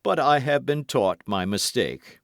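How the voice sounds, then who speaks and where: A man's voice: medium pitch, animated, measured pace, creaky, no echo, studio quality, pitched above the performer's own voice. A man reading an audiobook, voicing a boastful, scheming musketeer in a raised, grand, wheedling voice. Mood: mock-melancholy. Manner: grand, wheedling, mock-melancholy.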